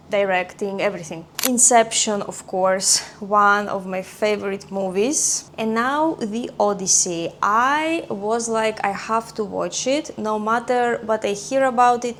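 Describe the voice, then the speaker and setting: Young woman, Greek accent, mid pitch, chatty vlogger, faint hum behind. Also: measured pace. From Greece. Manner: chatty, thinking aloud with fillers and small restarts. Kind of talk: casual vlog chat.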